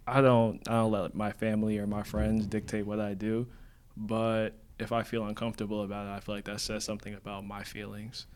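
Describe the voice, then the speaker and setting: A man co-hosting a casual podcast, speaking candidly and relaxed, with lots of "like". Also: lowish voice, a little fast, clean tone. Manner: relaxed, candid, heavy use of "like". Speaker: podcast co-host.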